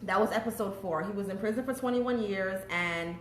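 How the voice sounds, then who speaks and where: A woman guest in a casual live interview, animated and expressive, laughing easily as she talks. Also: medium pitch, brisk. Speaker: guest, show producer and host. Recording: echoey room, faint ambient noise.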